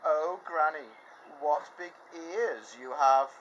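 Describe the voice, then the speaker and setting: A man voicing a polite, wide-eyed little girl in a children's storybook, in a raised, slow, wondering child's voice. Behind him are faint birds outdoors. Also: on the high side, a bit bright, dull audio, slight background noise, voice pushed up.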